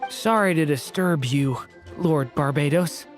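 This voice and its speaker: A man voicing a young, self-assured, courteous male dragon in a video game, with a measured, slightly smug manner. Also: fairly high pitch, faint music behind, natural voice. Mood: polite, thoughtful.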